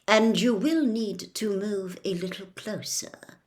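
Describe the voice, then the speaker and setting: A woman voicing a cold, controlled male wizard and spy, speaking quietly and with measured care in an audiobook. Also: mid-range voice, natural voice. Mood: quiet, solemn. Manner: quiet, slow, coldly measured. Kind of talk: audiobook character voice.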